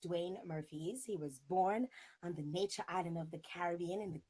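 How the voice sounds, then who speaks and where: A woman's voice: on the low side, brisk, a little mellow, slightly rough. A woman in her thirties, a talk show host chatting casually and expressively on a video call. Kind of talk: casual chat interview. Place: indoor room on a video call.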